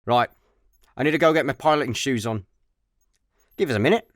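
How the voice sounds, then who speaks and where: A man's voice: medium pitch, brisk, dry recording, clean studio sound, close to an everyday voice. A man with a Scottish accent playing a laid-back, dim, easily distracted slacker in a comedy sci-fi radio play. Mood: easygoing. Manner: casual, offhand.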